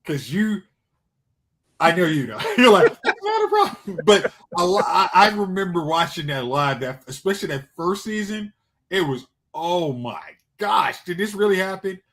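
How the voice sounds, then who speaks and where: A man's voice: high voice, very animated, moderate pace, fairly bright tone. A man co-hosting a podcast, in casual chat about pop culture. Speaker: podcast co-host.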